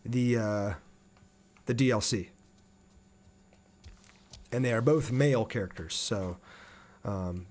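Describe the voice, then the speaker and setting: A man with a mid-pitched voice commentates on his own game livestream. He talks casually, thinks aloud as he plays and chats in a friendly way with his viewers. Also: darkish tone. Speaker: game streamer. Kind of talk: casual livestream gameplay commentary with chat replies.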